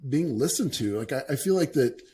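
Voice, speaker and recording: A man's voice: mid-range voice, animated, brisk, dark tone. A man hosting a podcast, speaking earnestly in casual conversation and often repeating words as he forms his thoughts. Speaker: podcast host.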